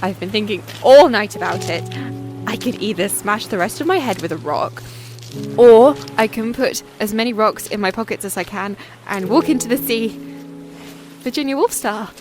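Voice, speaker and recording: A woman's voice: mid-range voice, expressive, moderate pace, natural voice. A woman playing an injured, despairing castaway alone on an island, speaking a raw, weary diary monologue in an audio drama. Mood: bleak, resigned. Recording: music behind the voice.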